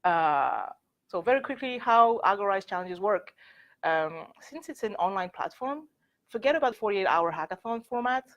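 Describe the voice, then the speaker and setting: A woman's voice: medium pitch, lively intonation, normal speed. A young adult woman from France with a French accent, giving a candid, informal talk to students, full of "like" and "actually". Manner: chatty, candid, filler-heavy with frequent "like" and "actually". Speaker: workshop speaker. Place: university lecture room.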